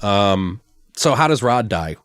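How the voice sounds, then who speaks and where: A man with a fairly low voice talks in a casual brainstorm, recorded close and dry. He rambles as he improvises ideas and swears freely, like a podcast host thinking out loud. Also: very animated, slow pace, no echo. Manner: rambling, profane.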